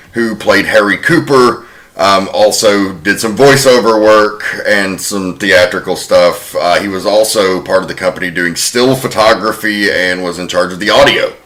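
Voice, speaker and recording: A man hosting a casual podcast discussion, informatively laying out facts in a measured way. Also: low-pitched, a bit bright. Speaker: podcast host presenting research.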